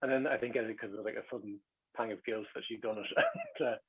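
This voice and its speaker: Fast-talking Irish man, slightly husky. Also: mid-range voice, wildly swooping pitch. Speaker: guest, recalling his family. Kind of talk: casual reminiscence in an interview. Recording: dull, lo-fi radio-like sound.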